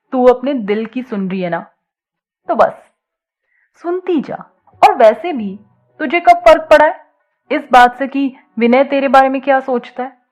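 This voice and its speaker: A woman voicing a teasing, bold young college student who talks in a casual, coaxing way in an audio story. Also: high-pitched. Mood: teasing, encouraging. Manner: playful, coaxing, casual slangy talk.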